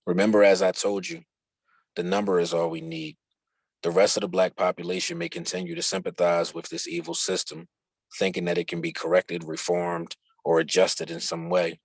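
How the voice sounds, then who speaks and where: Deep, gravelly man reading aloud. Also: moderate pace, no room sound. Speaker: reader of a book chapter. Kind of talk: reading written dialogue aloud, instructional.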